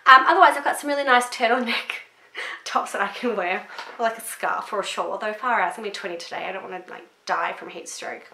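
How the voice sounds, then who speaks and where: A young woman, chatting casually through a beauty product review, rambling and swearing a little, her voice a bit deeper than usual this morning. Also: fast, sharp tone. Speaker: beauty vlogger. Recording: echoey room.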